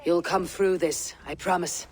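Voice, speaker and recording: An actor playing a resolute, commanding but merciful Viking warrior leader in a video game. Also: male voice, high-pitched, fairly even intonation, measured pace, a little hiss or hum. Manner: firm, commanding. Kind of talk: dramatic video game acting.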